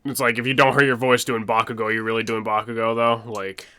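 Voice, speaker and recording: A woman's voice: rumbling low, little pitch movement, fast talker, pushed and bright, clear, resonant tone. A young adult woman, chatting casually as a live streamer in a rambling, sweary way. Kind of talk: casual chat.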